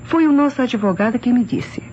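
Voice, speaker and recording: Actress as uneasy wife, softly mellow and animated. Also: female voice, a little high, a little fast, lightly acted. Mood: defensive, hesitant. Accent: Brazilian. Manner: hesitant, theatrical. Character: uneasy, defensive wife of a missing rich man. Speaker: actress in a radio mystery serial. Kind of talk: old Brazilian radio drama acting. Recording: muffled, noisy old radio recording.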